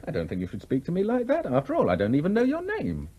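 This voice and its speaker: A charming English gentleman of leisure, teasing and a little hesitant. His husky, fairly high voice swoops widely up and down in the style of a 1970s radio drama. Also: huge pitch swings, fast talker, highs cut off, full character voice. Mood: flirtatious. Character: charming, flirtatious, idle well-off English gentleman, restlessly bored. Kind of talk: 1970s radio play acting.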